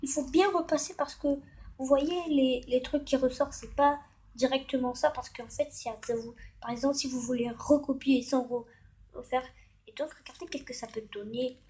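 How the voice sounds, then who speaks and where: A woman hosting a casual, improvised tutorial, hesitant and halting as she thinks aloud while demonstrating. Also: sits high, fairly even intonation, a little mellow, slightly rough. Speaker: tutorial host.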